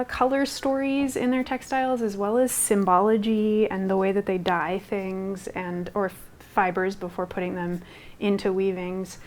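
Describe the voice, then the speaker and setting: A young woman textile artist from Guatemala whose first language was Spanish, speaking casually in an interview, with lots of fillers and restarts. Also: medium pitch. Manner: rambling, many fillers and restarts.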